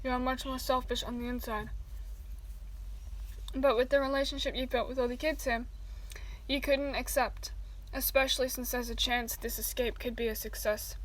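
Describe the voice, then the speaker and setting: In a read-aloud fan fiction, a woman voices a cool, nonchalant caretaker who speaks without a trace of worry. The voice sits high and reads in a steady storybook tone. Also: normal speed, poor audio.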